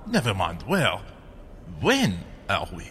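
Radio drama French gentleman painter: bewildered, polite, bright forceful tone, faint noise behind. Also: fairly low pitch, wildly swooping pitch, full character voice. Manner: halting, wondering, courteous. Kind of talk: old-time radio adventure acting.

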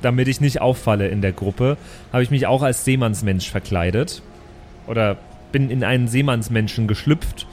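A man's voice: medium pitch, normal speed, a little mellow, soft background music. A man playing in an improvised audio role-play, speaking in a dry, slightly hesitant way. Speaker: player in a role-play show.

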